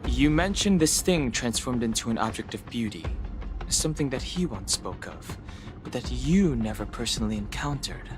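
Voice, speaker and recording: A man's voice: mid-range voice, very animated, normal speed, lightly acted. A man acting a level-headed, scholarly young character in a video game, matter-of-fact and dry. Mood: analytical. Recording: music behind the voice.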